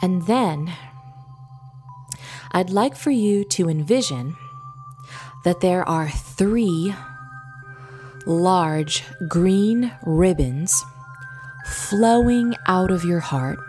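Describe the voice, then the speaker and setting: A woman with a slightly low voice guides a meditation over clearly present background music. She speaks very slowly and gently, leaving long pauses between soothing instructions. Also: expressive.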